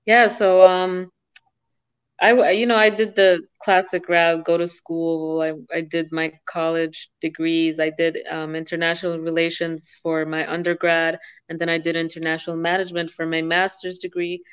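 A woman's voice: lowish voice, level tone, normal speed, smooth, dry recording. A young woman from Sudan chatting as a guest on a video call, laughing easily. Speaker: guest business owner. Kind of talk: casual video call interview. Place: home room, indoors. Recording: dull lo-fi video call audio.

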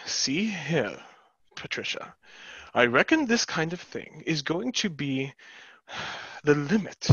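A young actor playing a blunt, protective, sceptical brother in a play reading over a headset. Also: male voice, on the high side, very animated, slow, pauses often, a bit bright, breathy edge, no room sound, full character voice. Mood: indignant. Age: young adult. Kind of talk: stage play reading over video call. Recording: dull video-call headset audio.

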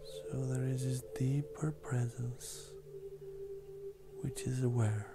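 Very slow, near-whispered meditation guide. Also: mid-range voice, steady tone. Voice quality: soft, breathy, often near-whisper. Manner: gentle, unhurried, long pauses. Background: ambient meditative music.

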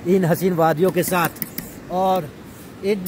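A man's voice: high voice, steady tone. Chatty man, noisy outdoor vlog. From India. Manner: chatty, informal, addresses viewers as friends. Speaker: vlog host. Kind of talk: casual camping vlog narration to viewers. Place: outdoors at a riverside campsite. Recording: noisy outdoor recording, rushing river. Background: loud ambient river noise.

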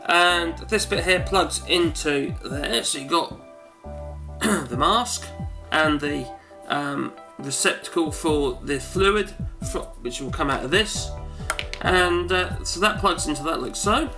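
Wheezy guy in his 40s chatting thru a home demo, bit high voice, music going under it. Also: slow pace. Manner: rambling, chatty, self-correcting. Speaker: home video maker. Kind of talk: casual how-to demonstration. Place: cluttered home study, indoors.

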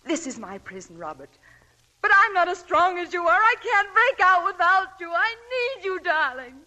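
An actress playing a desperate, devoted young wife in a 1940s radio drama, pleading and emotional. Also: female voice, very high voice, sing-song, normal speed, sharp tone, heavily acted, rough recording. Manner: pleading, emotional, breathy whispers.

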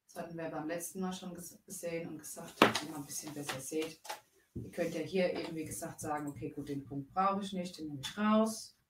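A chatty woman in her thirties walks viewers through each step of a live crafting tutorial. Her voice sits a little low and is picked up from across a roomy home room by a webcam mic. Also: brisk, very noisy. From Bavaria. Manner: chatty, explains steps while working. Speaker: crafting livestream host. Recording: roomy echo, voice a bit far off.

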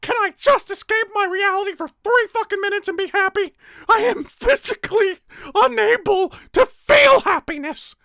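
A woman's voice: extremely high pitch, moderate pace, gravelly, few pauses, bright, forceful tone, no echo, clean studio sound, heavily acted. A woman voicing a small, bitter, foul-mouthed talking flower, numb and angry, in a fan roleplay drama. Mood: furious. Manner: sarcastic, cursing, stammering when cornered.

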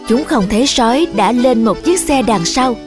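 A woman's voice: a little high, expressive, normal speed. A woman reading scripted narration for a children's story. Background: music.

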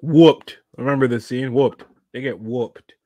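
A man with a medium-pitched voice and a dry sound co-hosts a casual cartoon recap. He is slangy and joking, often dropping in short interjections over a video call. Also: unhurried, dark tone, no echo. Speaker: co-host. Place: indoor room lined with boxed figures, on a video call.

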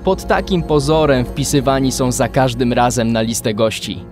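A man's voice: mid-range voice, fairly even intonation, moderate pace, music in the background, close to an everyday voice. A man narrating a children's adventure radio play in a scripted storytelling style. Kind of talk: scripted audio drama narration.

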